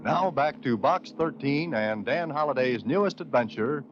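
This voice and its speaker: Male actor as grand old-time serial announcer: formal, sweeping delivery, muffled recording over loud music. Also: medium pitch, lively intonation, moderate pace, natural voice. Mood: grand, dramatic. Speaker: radio series announcer. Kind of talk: 1940s radio announcing.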